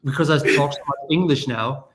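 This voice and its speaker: A man's voice: fairly high pitch, background noise. A German-born man in his forties with a light German accent, chatting earnestly as a podcast guest. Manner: earnest, rambling, quick asides. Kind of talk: casual interview chat.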